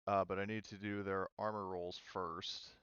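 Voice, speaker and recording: A man running a tabletop role-playing game as game master, halting and thinking aloud as he talks. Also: sits low, little pitch movement, fairly bright tone, smooth, dry recording, highs cut off.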